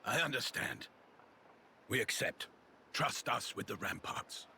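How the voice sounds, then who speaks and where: A man's voice: medium pitch, measured pace, creaky, pushed and bright, slight background noise, exaggerated voice. A man playing an earnest, loyal warrior in a video game, formal and theatrical in his speech. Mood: earnest, dutiful. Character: excitable, loyal male warrior, reverent of royalty. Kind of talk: video game cutscene acting.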